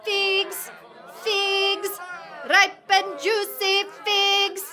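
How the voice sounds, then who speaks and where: Audio drama: expressive, complaining street woman, high and slow, singsong. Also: some chatter behind, full character voice. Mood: cheeky, hawking.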